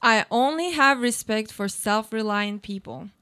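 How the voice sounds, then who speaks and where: An aloof, self-absorbed woman, played by an actress in a radio play, with a fairly high voice. She delivers formal, declamatory monologues as someone clinging to her comfortable life. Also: a little slow, pushed and bright, clean tone. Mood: disdainful.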